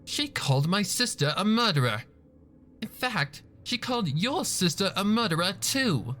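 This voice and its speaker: A man plays a proper lady pony in a storybook drama. The voice is lifted in pitch and swings expressively, prim and firm in its grievance. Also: big pitch swings, moderate pace, pushed and bright, slight background noise, heavily acted. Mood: indignant. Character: indignant, proper, unforgiving young female pony.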